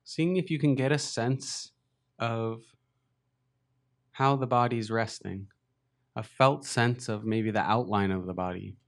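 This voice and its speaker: A man leading a beginners' body scan meditation, speaking slowly and gently with long pauses. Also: medium pitch. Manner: slow, gentle, unhurried guidance with long pauses. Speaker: meditation teacher. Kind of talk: guided meditation.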